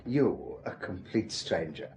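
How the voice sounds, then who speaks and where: An actor playing a suave, probing German police inspector in a radio drama, formal and precise, with a German accent. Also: male voice, low voice, expressive, slow pace, a little mellow, roomy sound, a little hiss or hum. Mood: stern, insistent. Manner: formal, precise, elaborately polite.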